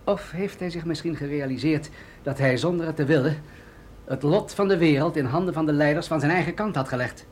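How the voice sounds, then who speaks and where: An actor in a radio drama playing an authoritative military general, grave and deliberate as he explains things. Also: fairly high pitch, a little hiss or hum, natural voice. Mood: earnest, persuasive.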